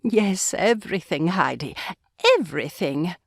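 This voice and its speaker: Old grandmother, kindly, pious, measured, melodic range, dry studio recording, storybook drama. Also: fairly low pitch, lively intonation, gravelly, husky, no room sound, exaggerated voice. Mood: tender, earnest. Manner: gentle, measured, earnest. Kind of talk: full-cast audiobook acting.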